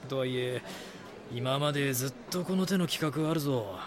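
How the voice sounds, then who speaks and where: A man playing a calm, measured chief editor mentoring a junior in a Japanese voice drama. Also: mid-range voice, a crowd in the background, natural voice. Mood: probing, instructive. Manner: instructive, composed.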